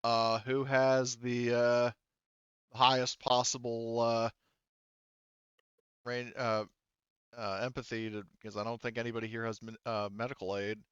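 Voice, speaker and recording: A man narrates a tabletop roleplaying session as game master in a clear, smooth voice, recorded close and dry. He is halting and casual, working things out aloud with some swearing. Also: medium pitch, little pitch movement, a bit bright, no room sound, highs cut off.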